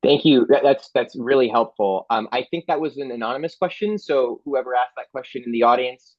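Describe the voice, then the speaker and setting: A man's voice: mid-range voice, a little fast, no echo, muffled recording. A man moderating a panel, thanking speakers with many hesitations and restarts.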